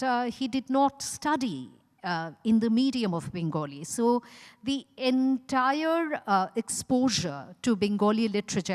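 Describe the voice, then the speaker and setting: Slow-paced Indian woman hosting in echoey hall. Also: medium pitch. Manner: measured, deliberate, with frequent pauses. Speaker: event host introducing an author. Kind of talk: semi-formal spoken introduction at a literary event. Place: large indoor event hall. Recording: echoey hall with long reverb.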